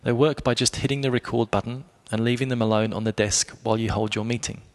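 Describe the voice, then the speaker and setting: A man reading a scripted explainer review as a blogger, in a measured way, speaking directly to the listener. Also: medium pitch, steady tone. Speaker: blogger presenting a product review.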